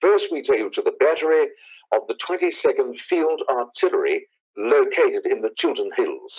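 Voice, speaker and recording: A man's voice: medium pitch, big pitch swings, moderate pace, breathy edge. A man playing a grave radio news announcer in a 1930s-style play, formally reading emergency bulletins. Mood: grave, urgent. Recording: tinny, phone-like radio broadcast sound.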